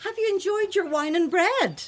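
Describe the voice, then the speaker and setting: A woman's voice: extremely high pitch, lively intonation, normal speed. An actress playing a nosy, falsely obliging female household servant in a radio drama, with a lilting, theatrical delivery. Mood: sly, obliging.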